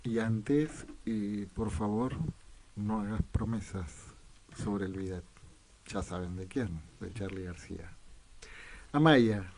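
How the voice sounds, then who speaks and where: A man's voice: lowish voice, slow pace, a little mellow, slight background noise, low-quality recording. A man co-hosting a radio program, talking casually and back-announcing songs.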